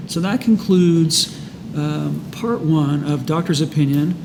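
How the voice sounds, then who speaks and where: A man's voice: a little high, slow, creaky. A man co-hosting in a casual, reflective way. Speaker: co-host of a recovery book study. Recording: reverberant room with background noise.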